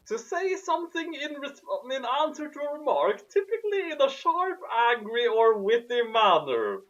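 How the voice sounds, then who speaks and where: A man's voice: very high-pitched, sing-song, measured pace, fairly bright tone, muffled recording. A man reading a story aloud in a comic, dramatic way and commenting on it. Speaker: narrator and commentator.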